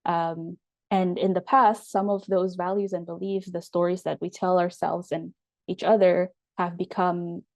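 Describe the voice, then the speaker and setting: A young woman from Indonesia with an Indonesian accent, a guest in an interview, answering in a measured way with many ums and uhs. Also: lowish voice, little pitch movement, no echo. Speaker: guest, writer and storyteller.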